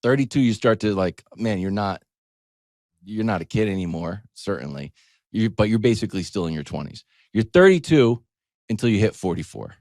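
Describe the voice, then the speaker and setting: A man's voice: lowish voice, expressive, moderate pace, a little mellow, no room sound, clean studio sound. A middle-aged American man telling a story as a show host in a casual, wry comic monologue, acting out little quoted asides.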